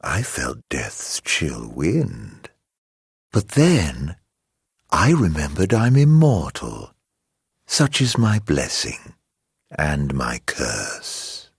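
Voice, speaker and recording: An actor playing a mocking, theatrical immortal villain in a video game, drawling mock-polite taunts in a husky, gravelly voice. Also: male voice, a bass voice, huge pitch swings, extremely slow, no echo, studio quality, heavily acted. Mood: brooding, vengeful.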